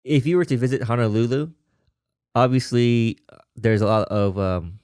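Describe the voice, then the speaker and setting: A man's voice: medium pitch, moderate pace, a little mellow, clear, resonant tone, no echo, clean studio sound. A man from Vietnam hosting a podcast, talking casually and carefully, hedging his points with restarts and qualifiers. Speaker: podcast host. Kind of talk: casual conversational interview.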